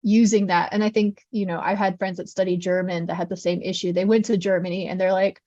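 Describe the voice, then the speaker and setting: A woman teaching about a language, explaining quickly and at length with fillers, in a guest interview. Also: medium pitch, steady tone, mellow, no room sound, muffled recording.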